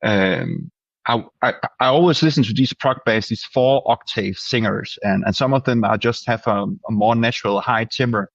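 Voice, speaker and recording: A man's voice: medium pitch, normal speed, dry recording, muffled recording. A Danish man, a band's singer, answering interview questions hesitantly with many restarts. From Denmark. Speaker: band singer.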